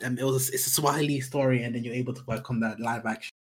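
A man's voice: medium pitch, fairly even intonation, rapid-fire. Enthusiastic male podcast host who stops to explain things to listeners. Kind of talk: casual podcast chat.

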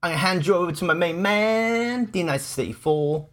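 Upbeat Welsh podcast host. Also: male voice, sits high, expressive, moderate pace, a crowd in the background. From Wales. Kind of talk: casual podcast chat.

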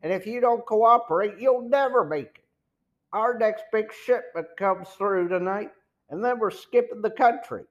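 A man's voice: sits high, moderate pace, highs cut off, pitched above the performer's own voice, natural voice. A man voicing a sour, threatening smuggler chief in an audiobook, pressing and sneering. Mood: threatening, uneasy. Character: sour, menacing male smuggler gang chief. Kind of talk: audiobook villain dialogue.

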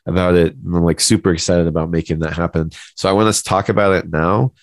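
A man's voice: low-pitched, fairly even intonation, fast talker, dry recording. A man in his thirties co-hosting a casual podcast, speaking informally and effusively to friends while sick, his voice not at its best. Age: 30s. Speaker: podcast co-host. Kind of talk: casual chat.

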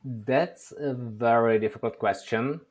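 A man from the Czech Republic with a Czech accent and Czech as his native language, answering interview questions in a measured, thoughtful way. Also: medium pitch. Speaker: interview guest, workshop presenter.

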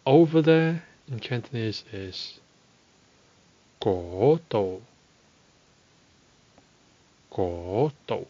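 A man teaching a podcast language lesson, speaking slowly and deliberately and repeating phrases for learners. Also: fairly low pitch, a little mellow, no room sound, dull audio.

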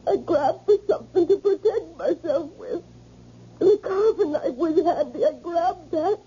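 An actress playing a terrified, tearful young housemaid in an old-time radio drama, speaking haltingly. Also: female voice, very high-pitched, dark tone. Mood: tearful, panicked. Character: terrified young housemaid who killed her attacker. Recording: muffled, hissy vintage radio broadcast.

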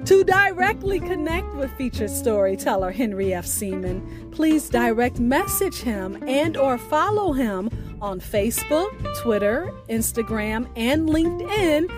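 A woman announces as the host of a radio storytelling show. Her voice is high and she speaks very slowly, with clear plucked guitar music behind her. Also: sing-song.

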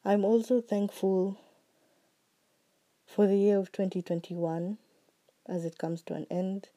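A woman hosting her own podcast, talking slowly and earnestly about what she is grateful for, her voice still recovering from being sick. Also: mid-range voice, steady tone. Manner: slow, heartfelt and earnest, with soft trailing phrases. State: recovering voice after illness. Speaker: podcast host. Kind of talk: reflective solo podcast monologue.

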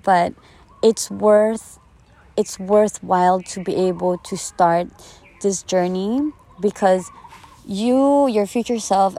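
A woman's voice: medium pitch, vocal fry, warm tone. Young woman podcasting reflectively, earnest. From the Philippines, later the United States. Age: twenties. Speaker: podcast host. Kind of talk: reflective personal podcast monologue.

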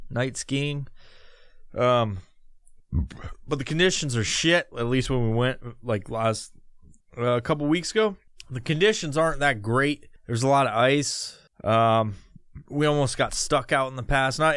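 A man's voice: medium pitch, fairly bright tone, clean tone, dry recording, clean studio sound. A middle-aged man from New York hosting a podcast, swearing constantly and laughing through rambling stories. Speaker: podcast host. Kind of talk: profane storytelling monologue.

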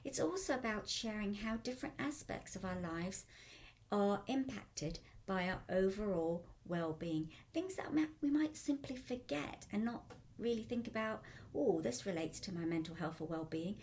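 A woman hosting a radio talk show as a life coach, upbeat and chatty, her voice still croaky. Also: mid-range voice, animated, moderate pace, a little mellow.

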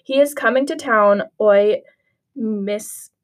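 A woman's voice: mid-range voice, slow pace, lightly acted. A woman reading aloud as a pompous, scheming stepmother who slips Spanish words into her English. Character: pompous middle-aged stepmother, fawning and scheming.

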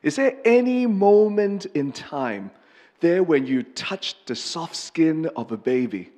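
Earnest halting pastor in a reverberant hall. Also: male voice, mid-range voice, darkish tone. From Hong Kong. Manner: earnest and halting, with many pauses and restarts. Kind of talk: personal testimony sermon. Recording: long reverb of a large hall.

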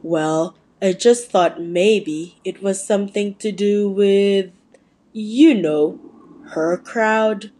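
A woman's voice: mid-range voice, drawn-out words, warm tone. A woman playing a nervous stuffy husband. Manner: hesitant, mumbling.

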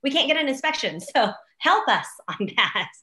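Middle-aged female interview guest, bright voice, animated casual storyteller, echoey room recording. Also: sits high, normal speed, a little hiss or hum. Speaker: podcast guest, real estate agent and former news anchor. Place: room in a new house.

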